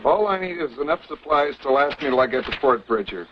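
Defiant young cowboy type man acting, stubborn, lively pitch, muffled old tv western sound with music. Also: a little fast, natural voice. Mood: bitter, insistent. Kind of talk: 1950s television western acting.

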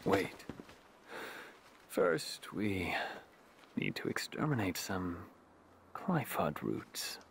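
An actor playing a brooding, enigmatic young poet in a video game, speaking in a measured, theatrical, cryptic way. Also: male voice, sits low, wildly swooping pitch, gravelly, a bit bright, a little hiss or hum, heavily acted. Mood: calm, cryptic. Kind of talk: dramatic video game voice acting.

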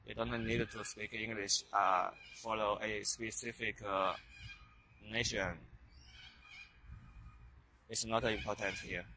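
A man's voice: lowish voice, level tone, slow, pauses often, pushed and bright, poor audio. A young man with a Chinese accent talking in a casual vlog, hesitant and full of fillers and restarts, recorded on a handheld phone while walking outdoors on a campus. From China. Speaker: vlogger. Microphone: handheld phone camera while walking. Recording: outdoor noise behind the voice.

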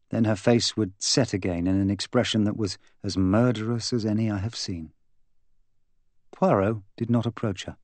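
A man narrates a mystery novel in a fairly low voice. His reading is measured and expressive, in a polished literary style. The sound is close and very dry, with no room on it. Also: no echo. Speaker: audiobook narrator.

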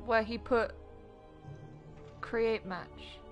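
Young yorkshire woman gaming, sweary and teasing, highish voice, music under it. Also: steady tone, very slow, highs cut off. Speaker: gaming streamer. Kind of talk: casual co-op gameplay chat.

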